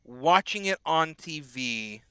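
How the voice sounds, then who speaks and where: A man's voice: a little high, lively intonation, slow pace, sharp tone, smooth, dry recording. A man in his twenties casually chatting with his live-stream chat while playing a game, rambling and answering messages, a little sick. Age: 20s. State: a bit sick, on bed rest.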